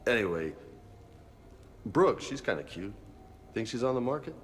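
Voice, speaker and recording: An actor playing an easygoing, chatty marine in a video game, with a casual, rambling way of talking. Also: male voice, medium pitch, very animated, moderate pace, slight background noise, lightly acted. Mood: relaxed, chatty.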